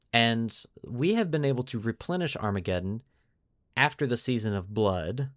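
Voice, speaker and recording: A man's voice: fairly low pitch, fairly bright tone, no echo, studio quality. A man hosting a podcast, narrating fictional war lore like a storyteller and stressing key phrases. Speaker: podcast host.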